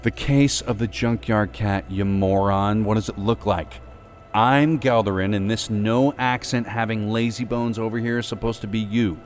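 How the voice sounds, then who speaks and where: A man's voice: on the low side, fairly even intonation, normal speed, faint music behind, lightly acted. A man voicing Ross, a brash, insulting male skeleton actor in a storybook reading. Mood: bossy. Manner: pushy, sarcastic, scolding. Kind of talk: storybook character voice.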